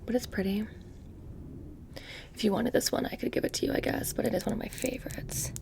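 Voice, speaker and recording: Soft whispering woman, low and creaky. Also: fairly even intonation, a little fast. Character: witch shopkeeper, casual, smug, quietly menacing. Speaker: ASMR roleplay performer. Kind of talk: soft-spoken ASMR roleplay, close and whispery.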